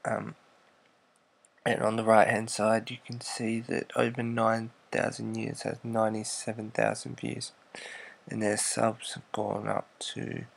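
A man with a low, deeply gravelly voice that stays flat and unhurried. He narrates a screen recording as a voice-over, explaining each step and letting sentences trail off quietly. The tone is husky and measured. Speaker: YouTuber.

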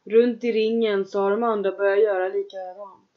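A woman leading a guided trance meditation, giving calm, slow instructions in the second person with long pauses. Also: mid-range voice, lo-fi sound.

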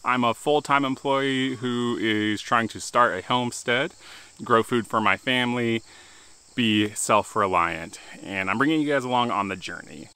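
A man's voice: medium pitch, measured pace, sharp tone. A man in his thirties vlogging outdoors about his homestead, talking casually into a handheld phone camera with a loose, self-deprecating manner and some restarts. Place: outdoors in a rural yard and woods. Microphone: handheld phone camera at arm's length.

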